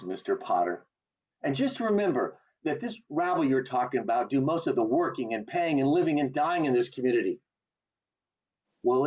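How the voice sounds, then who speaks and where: An actor playing an earnest, idealistic young man in an amateur radio play, impassioned and halting, over a video call. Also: a little high, darkish tone, breathy edge. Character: earnest idealistic young man defending his late father. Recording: muffled online video call audio.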